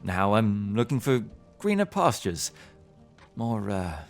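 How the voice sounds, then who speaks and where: A man's voice: lowish voice, sing-song, slow, soft background music, exaggerated voice. A man playing a weary, amiable, easily flustered travelling bookseller in a fantasy audio drama, speaking hesitantly. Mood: rueful. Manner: hesitant, stammering. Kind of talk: fantasy podcast acting.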